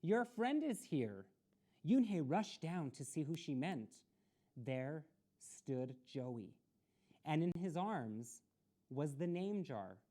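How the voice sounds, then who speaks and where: A woman with a low, mellow voice reads a picture book aloud for children. Her delivery is deliberate and expressive, with a very animated melody. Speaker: storytime reader.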